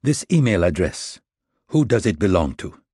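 A cold, curt hit-squad leader barks orders in a husky, dark voice, as a man puts him on. The sound is dry and close, like an audiobook read. Each order comes out clipped and commanding. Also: low voice, big pitch swings, normal speed, no room sound, full character voice. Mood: menacing.

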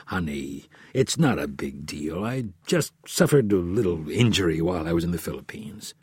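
A man's voice: lowish voice, sing-song, moderate pace, fairly bright tone, breathy edge, dry recording, clean studio sound, exaggerated voice. A man voicing an evasive, embarrassed husband, a tough operative who answers hesitantly and tries to placate, as in an audiobook. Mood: placating.

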